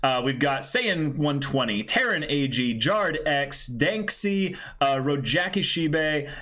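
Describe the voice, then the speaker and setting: A man hosting a livestream in excited casual chat, exclaiming freely and effusive in his thanks. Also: a little high, slow. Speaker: livestream host.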